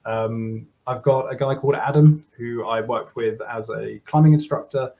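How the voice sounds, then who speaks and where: A German man in his thirties, a software developer chatting as a guest on a video call, laughing often and restarting his sentences. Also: medium pitch, normal speed, gravelly. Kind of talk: casual interview talk.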